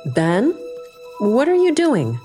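A female schoolteacher in an audio drama. She is stern and commanding, speaking slowly in a higher voice with big swings of pitch. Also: huge pitch swings, mellow, faint music behind, heavily acted. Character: female middle school maths teacher, stern then alarmed.

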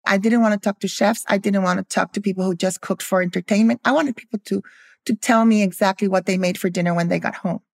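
A woman's voice: medium pitch, steady tone, fast, creaky, no echo, studio quality. A middle-aged or older woman from Guatemala, speaking as an interview guest and telling stories about her life and work. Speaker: guest, food historian and cookbook author. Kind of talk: interview storytelling.

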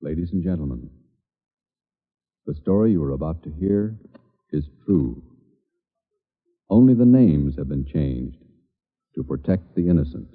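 A man performing as a grave, deliberate announcer for a 1940s true crime radio drama. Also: very deep voice, lively intonation. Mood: solemn. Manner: solemn, deliberate. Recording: tinny old radio recording, long reverb.

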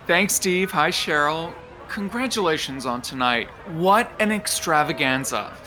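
An actor playing an effusive, arch theater gossip reporter in an audio drama, gushing like a red-carpet commentator. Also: male voice, fairly high pitch, expressive, unhurried, bright, forceful tone, rough recording. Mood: nosy, insinuating. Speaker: entertainment reporter.

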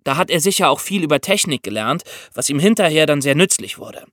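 Male podcast co-host, animated and fairly high-pitched, explaining true crime conversationally. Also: a little fast, dry recording, studio quality.

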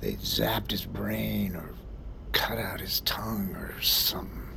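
Slow, creaky folksy older truck driver. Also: male voice, lowish voice, a crowd in the background, full character voice. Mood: wistful, wary. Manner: folksy, halting, unhurried. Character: friendly, protective older male truck driver, folksy and old-fashioned. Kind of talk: audio drama dialogue. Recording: noisy vehicle cab ambience.

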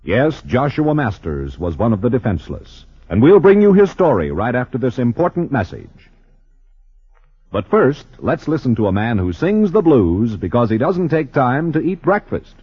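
A man announcing and narrating a 1950s radio drama with formal, dramatic emphasis and a slightly rough edge, on an old broadcast recording. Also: mid-range voice, huge pitch swings, normal speed. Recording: muffled old radio broadcast, music behind.

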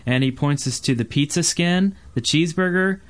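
A man's voice: fairly high pitch, moderate pace. A man co-hosting a gaming podcast, talking casually with the others. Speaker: podcast co-host.

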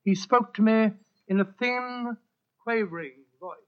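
An actor playing an earnest, upright doctor who narrates in a dramatic, measured way in a 1940s-style radio drama. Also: male voice, sits high. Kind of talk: 1940s radio acting, first-person narration. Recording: dull vintage radio recording.

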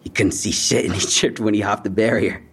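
Young male suspect for audio drama: husky, slangy, evasive, all defensive bravado over fear. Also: lowish voice, fairly even intonation, brisk. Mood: cocky, casual. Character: defensive young robbery suspect, tough talk hiding fear. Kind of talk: naturalistic audio drama acting.

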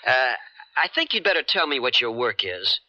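Sharp, wry American boat skipper. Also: male voice, medium pitch, sing-song, moderate pace. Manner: wry, sardonic, offhand. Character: cynical, wry, cash-strapped American charter boat skipper. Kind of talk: 1970s radio drama acting. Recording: dull 1970s radio broadcast recording.

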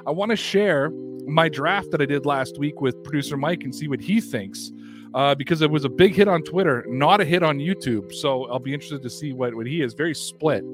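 Brief: male podcast host, mid-thirties, brisk hype delivery, bright-pitched, music bed under him. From Canada. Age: 30s. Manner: energetic, plugs and hype asides. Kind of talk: casual sports podcast chat. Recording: music playing behind.

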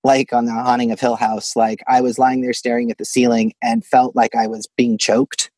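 Male caller from Arkansas, mid-to-low voice, casual chuckling storyteller, very dry closet sound. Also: level tone, moderate pace, darkish tone, no room sound. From Arkansas, United States. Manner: chuckles through his story. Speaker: caller telling a personal ghost story. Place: closet at home.

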